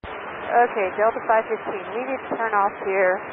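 A man's voice: high-pitched, fairly even intonation, moderate pace. A male airline pilot talking over aircraft radio in a conversational, unflustered way. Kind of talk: air traffic radio exchange. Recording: noisy narrowband aircraft radio transmission.

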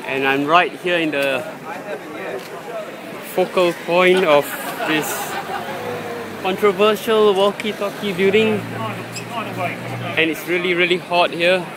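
A man giving halting, unscripted commentary as he films outdoors on a handheld device in a busy city street. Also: high-pitched. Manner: halting, searching for words. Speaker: amateur video maker filming a street scene. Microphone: handheld phone or camera, held by the speaker. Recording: noisy busy city street, traffic and crowd.

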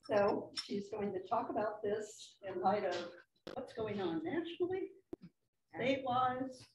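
A woman's voice: mid-range voice, a little slow, background noise. An older woman hosting a meeting, introducing a guest speaker in a chatty way. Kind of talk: reading an introduction. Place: hotel dining room, indoors. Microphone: far room camera. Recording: dull echoey room from far off.

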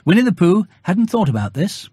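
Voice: mid-range voice, big pitch swings, a little fast, warm tone, husky, no echo, studio quality. A narrator reading a children's storybook in a scripted storytelling style.

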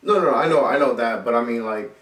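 A man's voice: mid-range voice, fast, a bit bright. A young rapper talking casually in a small home studio, agreeable and earnest as he explains his choices. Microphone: far camera. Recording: echoey room from far off.

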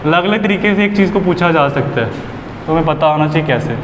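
A young man explains an English lesson to students, switching between Hindi and English and repeating examples patiently. His voice sits a little high and reaches a distant camera through an echoey classroom. Steady room noise sits under him. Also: fairly quick. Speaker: English teacher. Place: classroom with whiteboard. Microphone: far camera.

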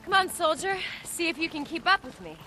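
A brisk camp scientist in a video game. She sounds earnest and guarded but determined, with a bright, forceful voice. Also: very high voice, very animated, faint music behind, heavily acted.

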